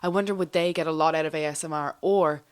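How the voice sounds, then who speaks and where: A woman's voice: low voice, fairly even intonation, quick pace, smooth. A woman co-hosting a casual conversation, asking curious, thoughtful follow-up questions.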